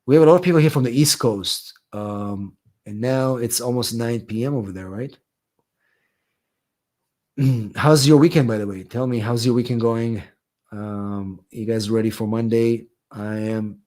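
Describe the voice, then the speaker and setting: A man's voice: mid-range voice, unhurried, a little mellow. A man, an immigration attorney, hosting a live question-and-answer stream, reading out viewers' questions and answering them in a loose, conversational way with many fillers. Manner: conversational, many ums and restarts, reads viewer questions aloud.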